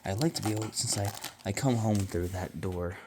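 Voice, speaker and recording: A man chatting casually while cleaning his living room, meandering and offhand, with quiet mumbled asides, into a handheld phone. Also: fairly low pitch, moderate pace. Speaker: vlogger and pet owner. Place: home living room, indoors. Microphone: handheld phone camera. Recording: loud background noise.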